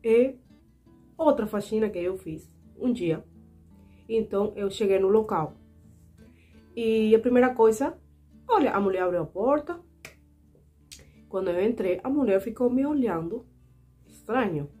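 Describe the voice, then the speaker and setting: A young Cuban woman with a Spanish accent tells her viewers her stories in a heartfelt, indignant way. Her voice sits at a middle pitch and keeps coming back to her audience. Faint guitar music plays quietly under her vlog. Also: animated, a little slow, long pauses. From Cuba. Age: 20s. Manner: earnest, indignant, repeatedly addressing viewers as "gente". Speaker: vlogger. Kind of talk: personal storytelling to camera.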